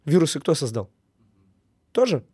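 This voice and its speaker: Earnest businessman on the mend from a cold: quick delivery, slightly higher voice, dry close sound. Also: big pitch swings, warm tone, husky, dry recording. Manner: earnest, repeats words for emphasis. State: recovering from a cold. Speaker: business owner. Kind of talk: casual podcast conversation.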